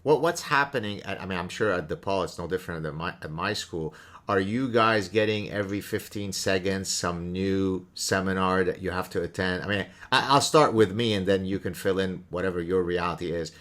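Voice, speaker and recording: A man in his fifties, born in Lebanon with Arabic as his first language, hosting a conversation with quick, emphatic bursts. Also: deep voice, fairly bright tone. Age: 50s. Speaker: podcast host and professor. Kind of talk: casual conversation.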